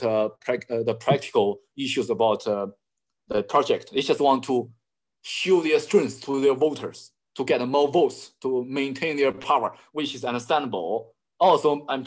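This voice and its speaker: Hesitant man with frequent restarts. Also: medium pitch. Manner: hesitant, many fillers and restarts. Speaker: interview guest, analyst. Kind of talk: conversational interview answers.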